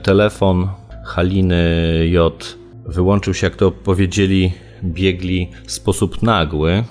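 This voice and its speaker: A man explaining a criminal case unscripted in an interview, halting, with many filled pauses. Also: deep voice, fairly even intonation, darkish tone, faint music behind.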